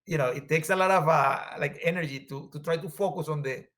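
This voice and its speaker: Venezuelan man, earnest and halting. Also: on the high side, fairly bright tone. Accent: Venezuelan Spanish. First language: Spanish. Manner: earnest, halting, with frequent fillers. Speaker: guest. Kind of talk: conversational interview.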